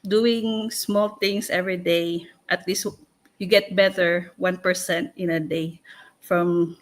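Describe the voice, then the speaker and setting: A woman, talking casually as a livestream co-host, haltingly searching for words. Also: fairly low pitch, fairly even intonation. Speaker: co-host and panelist.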